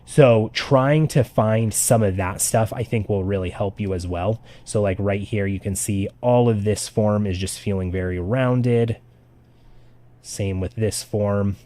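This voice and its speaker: Chatty man, low voice, going raspy. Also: unhurried, dark tone. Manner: loose and chatty, with many "like" fillers. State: voice getting raspy. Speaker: art streamer giving feedback. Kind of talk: casual live-stream critique. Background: faint background music.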